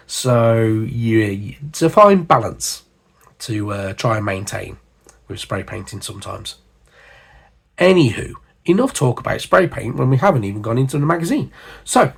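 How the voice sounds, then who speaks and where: A man hosting a hobby channel, hoarse and husky from a cold, chatting casually with lots of fillers and asides. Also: mid-range voice. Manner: chatty, rambling, with frequent fillers. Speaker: miniature painting channel host. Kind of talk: casual hobby chat to camera.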